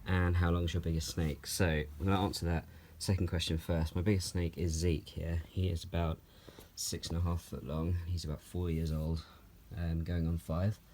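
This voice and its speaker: A young man from Norway, answering viewer questions about his reptiles at home in a calm, unhurried way, with many ums and soft laughs. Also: low voice, slight background noise. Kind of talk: relaxed Q&A vlog. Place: at home, handling snakes while filming.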